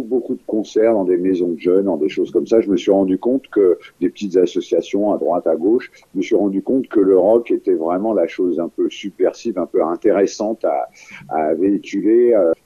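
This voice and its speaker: A man's voice: low-pitched, mellow, breathy edge. An older man from France, a singer-songwriter, talking in a phone interview with many fillers and restarts. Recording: muffled phone line.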